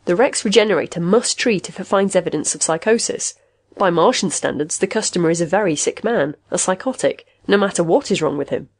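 A woman voicing an authoritative male technical expert in an audiobook, explaining things in a measured, matter-of-fact way. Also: lowish voice, dry recording.

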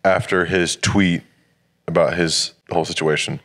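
A man's voice: low voice, fairly even intonation, fairly bright tone. A young man in his early twenties chatting casually in a podcast studio, rambling and joking as he talks. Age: young adult. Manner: quick, rambling, jokey. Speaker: college athlete guest. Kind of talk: casual chat. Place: podcast studio on a leather couch.

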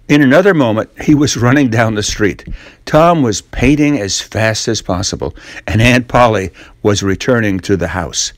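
An older man reading a classic children's story as storyteller, in measured, simple phrases. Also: medium pitch, no room sound. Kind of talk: scripted story narration.

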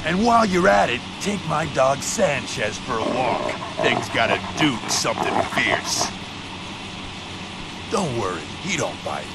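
A man playing a gruff, misanthropic skate legend in a video game, sardonic and dismissive. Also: mid-range voice, very animated, unhurried, heavy background noise. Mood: mocking. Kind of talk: video game cutscene acting.

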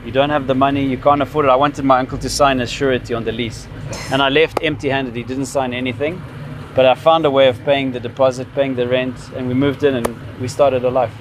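A man's voice: mid-range voice, steady tone, fast, noisy background. A man in his fifties with a Zimbabwean accent, telling personal stories in a casual, explanatory way as a vlogger. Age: 50s. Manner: reminiscing, explanatory. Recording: music behind the voice.

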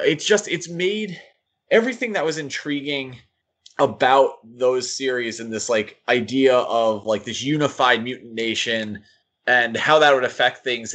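A man co-hosting a podcast, giving casual critical commentary in a halting, thinking-aloud way. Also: mid-range voice, fairly bright tone, slightly rough. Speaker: podcast co-host. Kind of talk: casual critical comics discussion.

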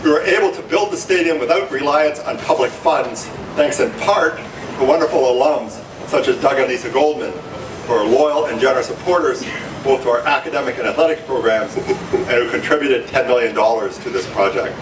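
An older man, a university chancellor, giving formal prepared remarks at a press conference. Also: mid-range voice. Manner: formal, measured delivery. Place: conference room at a stadium. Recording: echoey room from far off, noisy.

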